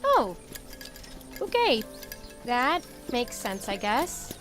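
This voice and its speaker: A woman's voice: fairly high pitch, very animated, very slow, fairly bright tone, faint music behind, full character voice. A woman playing a naive, anxious young woman in a fan audio drama, hesitant, earnest and full of questions. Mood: subdued, hesitant.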